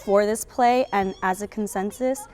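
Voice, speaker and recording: Young female interviewee, mid-pitched, earnest and emphatic, recorded with long room reverb. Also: steady tone, normal speed, darkish tone, a faint crowd. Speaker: high school drama cast member. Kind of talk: reflective interview answers.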